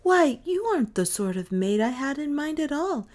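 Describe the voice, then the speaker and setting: A tired, kindly mother from an old storybook, soft-spoken and wondering, her voice lifted a little higher than plain talk, as a woman reader puts her on. She sounds puzzled but warm-hearted. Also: sing-song, moderate pace.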